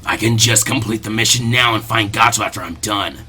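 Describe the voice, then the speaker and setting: A gruff ninja mercenary, as acted out by a male reader, pitched well down into a low growl. He is menacing yet offhand and mocking, a storybook villain with music playing behind him. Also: fairly quick, pushed and bright, exaggerated voice. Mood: offhand, cold.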